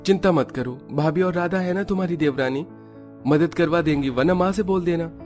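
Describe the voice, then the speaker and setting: A man's voice: a little high, moderate pace, a little mellow, soft background music, lightly acted. A man playing a reassuring young man of a joint family in a cartoon, placating and explanatory.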